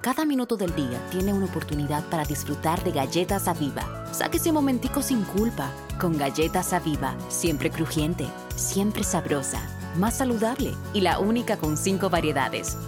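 A woman's voice: fairly low pitch, very animated, moderate pace, music in the background. A woman voicing a playful snack commercial. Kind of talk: scripted commercial read.

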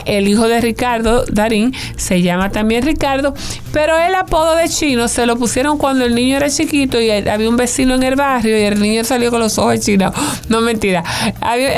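A woman presenting film news in a chatty, conversational way, adding jokey asides. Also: sits high. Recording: music bed underneath.

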